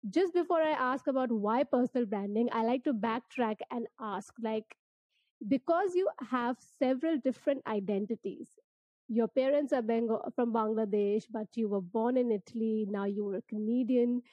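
A woman hosting an interview, asking questions in a measured, complimentary way. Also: fairly high pitch, dark tone, no echo. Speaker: host. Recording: muffled call audio.

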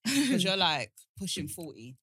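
Very animated, bright woman. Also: fairly low pitch, unhurried, breathy edge, dry recording, clean studio sound. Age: 30s. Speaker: podcast co-host. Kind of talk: casual chat.

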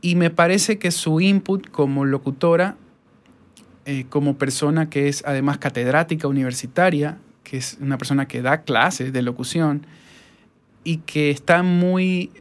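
A man's voice: on the high side, measured pace. A man from Ecuador with a Guayaquil accent, hosting a casual interview podcast from his recording studio and chatting enthusiastically with fillers. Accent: Guayaquil Spanish. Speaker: podcast host and voice-over artist. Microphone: portable recorder.

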